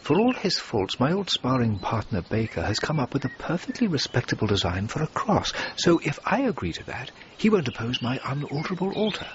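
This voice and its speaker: Man acting witty english maverick, wry and theatrical, really lively intonation, radio play, dull sound. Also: mid-range voice, a little fast, few pauses, lightly acted. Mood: sardonic, confident. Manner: wry, playful, pompous flourishes. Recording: dull, noisy radio drama recording.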